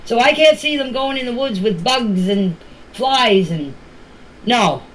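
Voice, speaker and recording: A woman's voice: on the high side, animated, unhurried, slight background noise, rough recording. A middle-aged or older woman, giving an informal personal account in a plain-spoken, emphatic way and often repeating herself. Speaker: family member giving her account. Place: voice clips recorded for a group chat.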